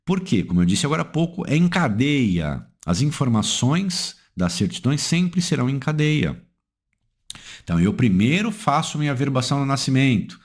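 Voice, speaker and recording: Brazilian male legal analyst, animated intonation, rhetorical questions, emphatic lecturing delivery. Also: moderate pace. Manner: asks rhetorical questions and answers them; emphatic, swings pitch up and down for stress.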